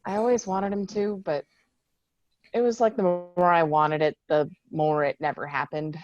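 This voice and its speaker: A woman's voice: on the low side, steady tone, moderate pace, no room sound, lo-fi sound, close to an everyday voice. A woman reading the part of a tough, guarded young female Marine lieutenant in a screenplay table read, with blunt, sardonic delivery. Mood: guarded, reflective. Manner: blunt, swearing, defiant.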